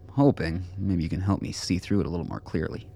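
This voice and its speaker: A man plays a gruff old soldier in a radio play. His voice is deep and gravelly, and he talks plainly with wry self-deprecation. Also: fast talker, warm tone. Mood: wry, weary. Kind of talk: radio drama acting.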